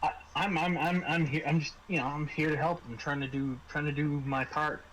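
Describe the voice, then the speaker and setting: A man's voice: fairly high pitch, level tone, a little fast, noisy background, lightly acted. A man playing an evasive, nervously helpful male mine liaison in a tabletop game, stammering and repeating himself.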